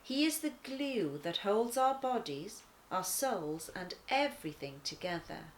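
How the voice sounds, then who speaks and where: A woman reading a children's devotional aloud in a deliberate, expressive manner. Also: medium pitch, slight background noise. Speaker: children's church story reader.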